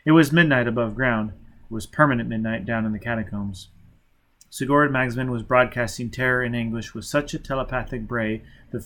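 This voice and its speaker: A man's voice: medium pitch, fairly bright tone. A man reading a novel aloud as dramatic scripted narration. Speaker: audiobook reader.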